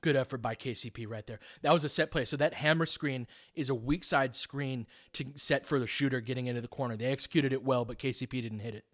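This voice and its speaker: A man's voice: medium pitch, fast talker, hardly any pauses, a bit bright. A man doing live basketball play-by-play, speaking in clipped, informal phrases and adding tactical analysis as he goes.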